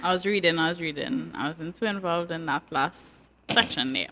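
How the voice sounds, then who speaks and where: A woman's voice: sits low, normal speed, fairly bright tone, a little hiss or hum. A woman hosting a live talk show, reading out viewer comments and reacting to them in a chatty way.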